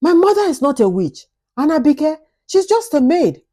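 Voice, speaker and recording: A woman voicing a troubled, resolute husband in a folktale, speaking forcefully and emphatically. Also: sits high, very animated, normal speed, pitched above the performer's own voice. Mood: angry disbelief.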